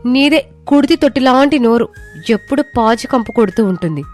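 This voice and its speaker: A woman voicing a sharp-tongued young village wife in a comic story. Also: a little high, lively intonation, a little fast, soft background music, close to an everyday voice. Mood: scolding.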